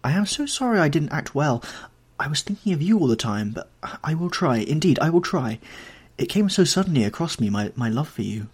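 A man's voice: fairly high pitch, big pitch swings, brisk, hardly any pauses, slightly rough, pitched above the performer's own voice. A man voicing a heartbroken young actress who begs her lover not to leave, in a pleading, breathless way.

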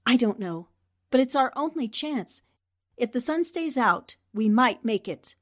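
An actress playing a resolute, quick-witted newspaperwoman in a 1940s-style radio drama. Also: fairly high pitch, animated. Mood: tense, hopeful. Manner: decisive, urgent instructions. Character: Anne Rogers, quick-thinking, resolute newspaperwoman heroine.

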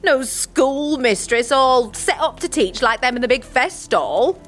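A woman playing a gruff, wary old witch woman in an audio drama, blunt and teasing, speaking in rustic dialect. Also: sits high, expressive, a little slow, a bit bright, soft background music. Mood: wary, scolding.

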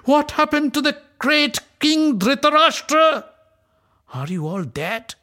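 A man voicing a wronged young queen who screams and accuses in a shrill, high voice. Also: sing-song, slow, pushed and bright, heavily acted. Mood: outraged. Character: wronged young queen, proud, furious, desperate.

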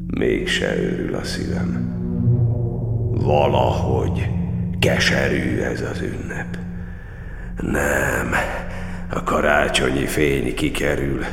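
Deep, gravelly old cardinal. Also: male voice. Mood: weary, bitter prayer. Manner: declamatory, prayerful, dramatic. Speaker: actor in a monodrama. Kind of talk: solemn poetic audio drama monologue. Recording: echoey hall reverb with music.

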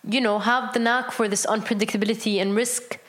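A woman's voice: on the high side, fairly even intonation, brisk, a bit bright. A Palestinian woman, a business founder, answering interview questions earnestly, with many fillers and restarts.

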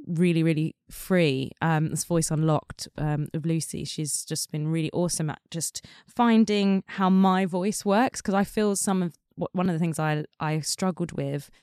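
A woman's voice: low-pitched, normal speed, few pauses, a little mellow, clear, resonant tone, dry recording. Chatty woman, dry close sound. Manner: chatty, many fillers like "like" and "um". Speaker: guest singer. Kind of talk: casual interview chat.

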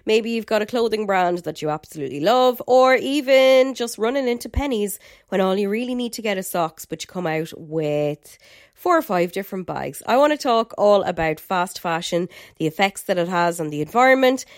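A woman's voice: medium pitch, animated, moderate pace, dry recording. Irish lady host, slightly bunged up, chatty, dry close sound. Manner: chatty and enthusiastic, with filler words. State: slightly croaky from a head cold. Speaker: podcast host. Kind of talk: casual podcast chat.

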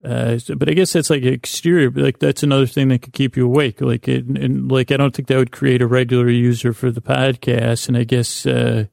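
Creaky, meandering man hosting a bedtime podcast. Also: mid-range voice, little pitch movement, darkish tone, dry recording, clean studio sound. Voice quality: creaky, gravelly. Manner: meandering, halting, frequent stammers and self-interruptions; lulling, soothing. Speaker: podcast host. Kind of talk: rambling bedtime monologue to put listeners to sleep.

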